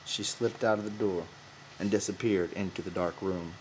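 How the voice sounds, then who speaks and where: A man's voice: low voice, steady tone, normal speed, darkish tone, slight background noise, low-quality recording. A man narrating a novel from a script as an audiobook reader.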